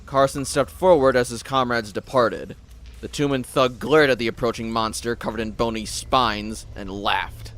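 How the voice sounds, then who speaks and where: A man reads scripted fiction as a narrator. His voice sits at a middle pitch with a dramatic storytelling manner. A faint noise runs behind him. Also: normal speed, a bit bright.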